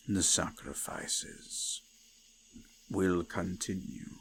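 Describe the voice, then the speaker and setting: An ancient eldritch god of the forest, solemn and possessive, murmurs in a deep, gravelly voice full of creak. The delivery is extremely slow and formal, often slipping into breathy whispers, as in an intimate horror roleplay. There is some noise behind the voice. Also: male voice, exaggerated voice. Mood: wrathful. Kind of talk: scripted roleplay audio, intimate ASMR.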